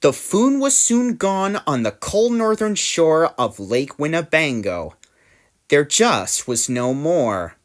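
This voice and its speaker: A woman reads a rhyming children's story aloud in a slightly low voice. She takes her time, phrasing each line deliberately, and her intonation rises and falls with plenty of drama. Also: sing-song. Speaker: story reader. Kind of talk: expressive read-aloud storybook narration in rhyme.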